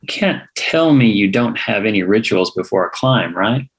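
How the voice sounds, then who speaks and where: A man's voice: lowish voice, lively intonation, moderate pace, low-quality recording. A man reading the part of a skeptical, pushy young hipster journalist in a script table read. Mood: pressing, skeptical.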